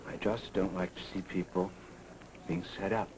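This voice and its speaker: An actor playing a guarded, wry investigator in a 1980s daytime soap, talking with many hesitant pauses. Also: male voice, low voice, steady tone, crowd noise, close to an everyday voice, poor audio. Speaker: soap opera character. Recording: hissy 1980s TV broadcast tape.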